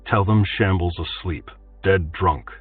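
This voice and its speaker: A man voicing a cool-headed male swordsman in a storybook reading, speaking calmly and with quiet menace. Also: low-pitched, a little slow, husky, no echo, distant mic. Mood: quietly menacing. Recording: tinny phone-like audio, faint music.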